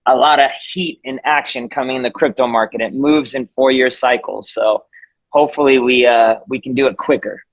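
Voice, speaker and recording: A man whose first language is Spanish hosts a casual video call, welcoming members with a chatty, rambling, upbeat manner. His voice sits at a middle pitch. The sound is dry and close, with almost no room echo. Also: steady tone, moderate pace. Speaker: community call host.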